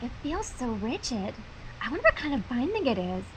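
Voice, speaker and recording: A woman's voice: sits high, big pitch swings, a little fast, a little hiss or hum, pitched below the performer's own voice, rough recording. A woman voicing a giddy young female unicorn obsessed with books in an amateur fanfiction reading. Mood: entranced.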